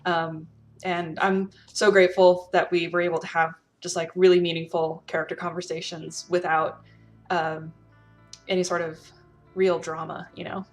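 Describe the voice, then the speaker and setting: A woman with a slightly low voice speaks in an earnest, emphatic way. She reflects casually on gaming experiences and gives advice, with music playing behind her. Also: flat delivery, normal speed. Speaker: role-player and storyteller giving advice.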